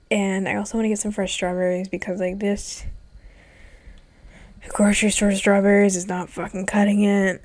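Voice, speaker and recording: A woman's voice: medium pitch, a little mellow. Congested young woman rambling casually. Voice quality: stuffy nasal. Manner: rambling, swearing, lots of ums. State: congested, sick with covid. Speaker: vlogger. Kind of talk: casual personal chat.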